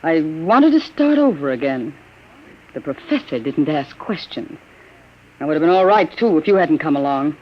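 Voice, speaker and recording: A frightened, weary nursemaid. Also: female voice, sits low, very animated, normal speed. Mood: fearful. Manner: tense, anxious. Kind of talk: 1950s radio drama acting. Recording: muffled old radio broadcast.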